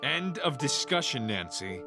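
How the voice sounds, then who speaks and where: A protective, stern police detective played by a male voice actor in an audio crime drama. His delivery is intense and questioning, with very lively swings in pitch. Soft music runs behind him. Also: a little slow, creaky. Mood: upset, stern.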